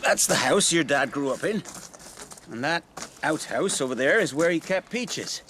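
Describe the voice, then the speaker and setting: A man's voice: fairly high pitch, animated, normal speed, sharp tone, slight background noise. An actor playing a wistful middle-aged British working man, a folksy rambling storyteller in a naturalistic radio drama. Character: wistful middle-aged local factory worker, old family friend.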